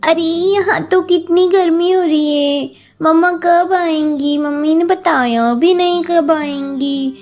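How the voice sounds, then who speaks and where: Little girl, voiced by a woman: very high, unhurried, innocent and wondering, children's story over guitar music. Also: fairly even intonation, a little mellow, full character voice. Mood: restless, curious. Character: innocent, eager little girl, a poor maid's daughter. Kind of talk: acted children's moral story. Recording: music behind the voice.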